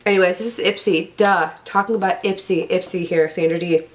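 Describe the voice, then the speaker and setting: A young woman with a hoarse, cold-roughened voice, pitched a little low. She rambles chattily as a beauty vlogger, trips over product names and talks straight to her viewers. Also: fairly even intonation, fairly quick, slight background noise. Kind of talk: casual unboxing chat.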